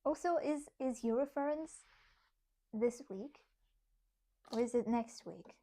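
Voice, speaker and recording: Brief: young woman, German accent, slightly high voice, loose chatty livestream talk, reads chat while she works, giggles a lot. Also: takes it slowly, warm tone. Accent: German, with some British English. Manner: chatty, laughs often, talks to herself while working. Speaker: streamer. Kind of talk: casual craft livestream chat, reading chat aloud.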